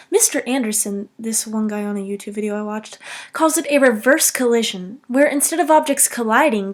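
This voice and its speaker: A young woman narrating a scripted, humorous video game commentary in a self-deprecating, mock-dramatic way. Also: on the high side.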